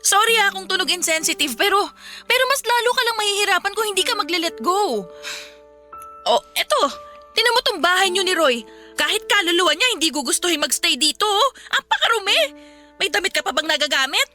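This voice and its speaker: An actress with a Filipino accent playing a frank, caring but exasperated sister in an emotional radio drama. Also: female voice, extremely high pitch, animated, brisk, bright, forceful tone, faint music behind, heavily acted. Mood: scolding. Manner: blunt, scolding, earnest.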